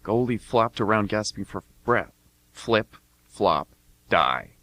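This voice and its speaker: A man's voice: fairly low pitch, lively intonation, slow pace, sharp tone, dry recording, heavily acted. A man playing a skeptical, sardonic pet goldfish with a dry, doubting manner in an amateur comic radio play.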